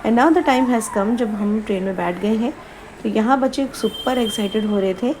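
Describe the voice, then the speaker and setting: A woman's voice: fairly high pitch, moderate pace, noisy background. A woman narrating a family vlog in a chatty, casual way, slipping English phrases into her Hindi. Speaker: vlogger.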